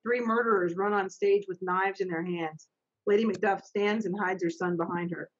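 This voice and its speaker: Stage manager, middle-aged woman, mid-pitched and matter-of-fact, muffled video call from a home office. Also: fairly even intonation, slight background noise. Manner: brisk, practical, coaxing the young readers along. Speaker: stage manager reading stage directions. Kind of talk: reading stage directions and running the session. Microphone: laptop mic on a video call. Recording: dull lo-fi video call audio.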